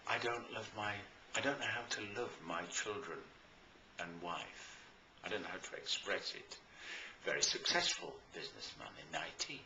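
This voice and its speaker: A British man speaking as a coach promoting his services in an informal, enthusiastic and emphatic talk with deliberate pauses, recorded in a roomy space. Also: on the low side, pushed and bright. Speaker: life and business coach promoting own services. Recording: roomy echo, faint background noise.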